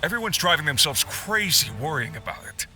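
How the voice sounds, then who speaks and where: A man's voice: a little high, very animated, brisk, sharp tone, a little hiss or hum, heavily acted. A man playing a worried, friendly miner who coaxes the listener into taking on a job, in a game cutscene. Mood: coaxing. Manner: chatty and coaxing. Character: worried male miner giving a commission, friendly and persuasive.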